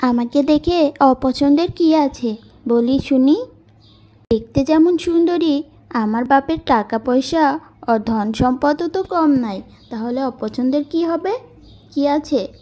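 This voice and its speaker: A woman's voice: high-pitched, takes it slowly, dark tone. A woman voicing a vain, jealous young landlord's daughter who bullies servants in a cartoon. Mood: vain, defiant.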